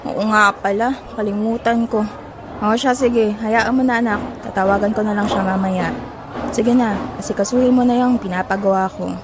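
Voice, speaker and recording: A woman's voice: medium pitch, fairly even intonation, normal speed, background noise, natural voice. A woman playing an exasperated, struggling mother and nagging wife in a Filipino audio drama, scolding and sarcastic. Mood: weary, motherly.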